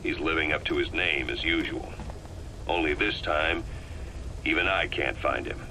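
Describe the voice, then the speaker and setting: A shrewd intelligence man reports gravely to his boss in a low, forceful voice. His delivery is measured and ominous, with every phrase placed deliberately. It plays from a cassette with some background noise. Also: full character voice. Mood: serious, matter-of-fact. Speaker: intelligence informant. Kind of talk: dramatic game voice acting, recorded briefing. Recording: noisy audio cassette tape playback.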